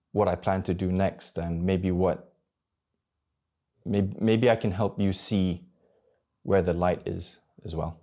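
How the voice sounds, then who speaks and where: Low gravelly reflective man, thirties. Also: dark tone. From Malaysia. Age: 30s. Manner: halting, searching for words, many restarts; candid and introspective. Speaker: vlogger. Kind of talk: personal reflective monologue.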